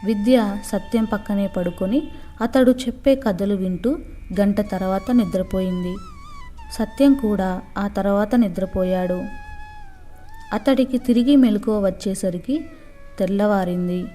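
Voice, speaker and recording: A woman's voice: medium pitch, unhurried, pauses often, warm tone, soft background music. A woman reading a scripted moral story for children as a storyteller.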